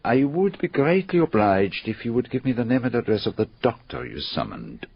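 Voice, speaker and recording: An actor playing a courteous, probing foreign detective in a radio drama, with formal foreign phrasing and deliberate questions. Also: male voice, medium pitch, very animated, husky. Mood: solemn resolve. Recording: dull, muffled radio drama recording.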